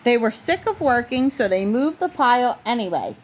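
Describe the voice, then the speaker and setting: A woman with a fairly high voice narrates a children's picture book. She reads it aloud in the style of a parent at bedtime. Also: very animated, moderate pace. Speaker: parent reading a bedtime story. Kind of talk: storybook read-aloud narration.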